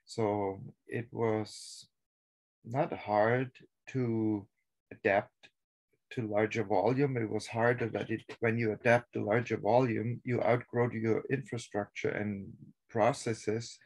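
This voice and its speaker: A man in his sixties, a farmer giving a guest lecture over a video call from his office, speaking in a slow, halting, measured way. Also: fairly low pitch, steady tone, darkish tone, poor audio. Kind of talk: unscripted video call talk. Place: home office with papers on the wall. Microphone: laptop webcam on a video call.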